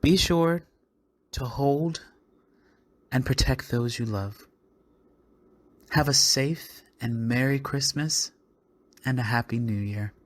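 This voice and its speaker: A man with a medium-pitched voice delivers a scripted announcement. He speaks as an artistic director addressing listeners at the close of a show. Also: slow pace, pauses often. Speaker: theater producing artistic director. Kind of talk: scripted closing announcement and appeal.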